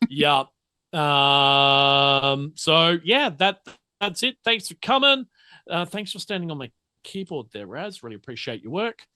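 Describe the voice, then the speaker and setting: Male game master, casual and rambling, self-deprecating humour, crisp bright voice, close dry sound. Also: a little high, very animated, a little slow, no room sound. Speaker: game master and stream host. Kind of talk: casual stream sign-off chat.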